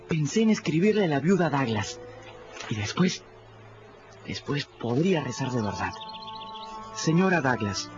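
A man narrating in first person for a radio drama, earnest and reflective. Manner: earnest, reflective, rises in pitch when moved. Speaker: narrator voicing a boy. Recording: muffled radio audio with music.

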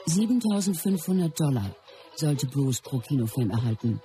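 A woman's voice: extremely low pitch, sing-song, normal speed, warm tone, soft background music. A woman playing a close companion who narrates a star's life story in a scripted radio play. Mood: reflective.

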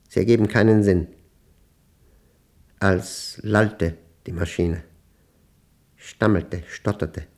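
A man acting a brooding mathematician in a German radio play, reading his diary in terse, deliberate, measured fragments. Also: lowish voice. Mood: grave, tense.

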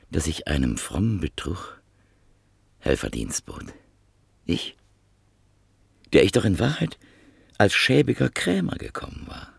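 A man narrating a radio drama, speaking in a reflective and measured way. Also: low-pitched, gravelly, breathy edge, no room sound. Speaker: narrator and listener in a radio play. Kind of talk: 1950s radio drama acting.

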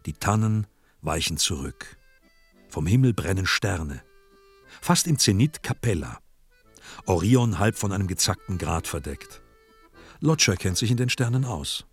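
A man narrating a radio play from a script in a dramatic style, with clipped, deliberate phrasing. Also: deep voice, animated, breathy edge, soft background music.

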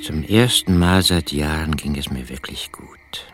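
An actor playing an embittered, obsessive poor farmer who narrates a radio play in a hushed, intimate, brooding way. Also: male voice, rumbling low, measured pace, over music. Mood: contented, reverent. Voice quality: husky, hushed. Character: Charlie, embittered poor rural farmer obsessed with his jar. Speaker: first-person narrator.

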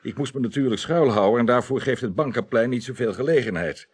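An actor playing a dutiful, deferential detective giving a meticulous report in a 1980s Dutch radio drama. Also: male voice, medium pitch, quick pace, slightly rough, natural voice. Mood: earnest, precise.

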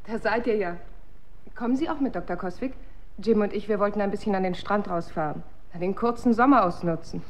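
An actress playing a brisk, no-nonsense female friend in a German radio drama. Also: mid-range voice, lightly acted. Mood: casual, friendly.

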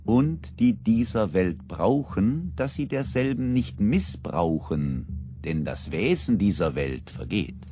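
An actor in a German radio play playing an earnest, cautious peasant delegate with a placating, deliberate way of speaking. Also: male voice, fairly low pitch, sing-song, warm tone. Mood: admonishing.

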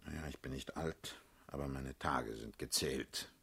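Very deep, husky, commanding party boss. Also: male voice, unhurried, bright, forceful tone, heavily acted. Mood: wearily brooding. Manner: blunt, paternal, brusque. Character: world-weary, commanding male party leader, blunt and sardonic. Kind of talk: German radio drama acting.

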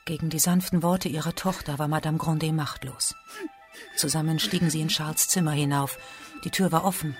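A woman's voice: low-pitched, fairly even intonation, a little fast, faint music behind. A woman delivering scripted literary narration in a radio play.

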